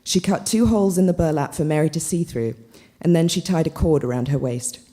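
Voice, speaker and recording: A woman's voice: low-pitched, a little fast, mellow. A woman reading fiction and literary commentary aloud as narration at a literary event.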